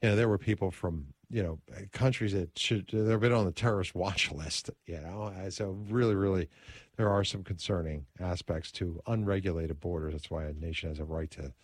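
Man, talk radio host, brisk, assured, low voice, dry studio mic. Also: no echo.

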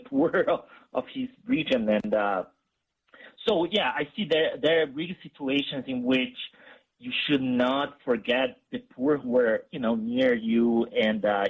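Guest expert: an earnest man with a husky voice, halting, on a dull line. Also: medium pitch. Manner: earnest, halting, many restarts. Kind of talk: radio interview.

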